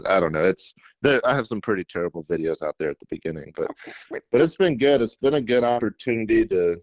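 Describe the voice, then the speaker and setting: A shy young man from middle Tennessee, a fishing YouTuber, answering interview questions in a self-described monotone with many fillers. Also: low voice, no room sound, lo-fi sound. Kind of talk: casual interview.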